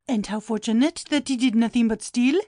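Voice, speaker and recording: High-pitched, fretful old housekeeper. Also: female voice, mellow, dry recording, clean studio sound. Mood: grumbling. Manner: exclamatory, gasping, drawn-out lamenting. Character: fretful, alarmed elderly housekeeper woman, prone to outcry. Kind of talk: dramatised classic audiobook acting.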